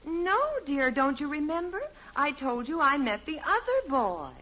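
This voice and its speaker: An actress playing a sensible, patient American wife and mother in a 1950s radio sitcom, placating and gently chiding. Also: high-pitched, big pitch swings, a little slow. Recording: dull old radio recording.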